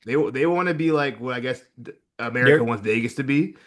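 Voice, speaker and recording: A man's voice: medium pitch, fast talker. A man co-hosting a wrestling podcast in casual chat, rambling and hesitant, full of fillers. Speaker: podcast co-host.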